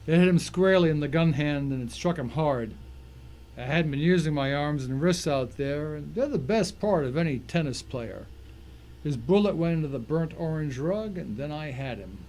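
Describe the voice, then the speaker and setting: A man's voice: a little high, expressive, moderate pace, a little mellow. A man playing the grim first-person narrator of a crime thriller, reading as scripted dramatic storytelling. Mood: tense, grim. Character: tense, vengeful male narrator of crime thriller.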